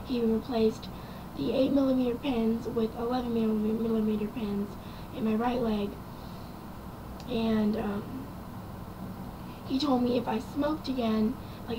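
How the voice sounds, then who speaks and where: A young woman with a raspy, quiet voice, telling her personal story to camera in a rambling way with many ums and likes. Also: on the high side, steady tone, moderate pace, background noise. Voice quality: raspy and quiet from vocal cord damage. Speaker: vlogger.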